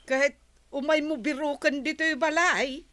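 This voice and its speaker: A woman's voice: high voice, steady tone, moderate pace, a bit bright. A woman with an Ilocano accent playing an exasperated, scolding member of a household in an audio drama.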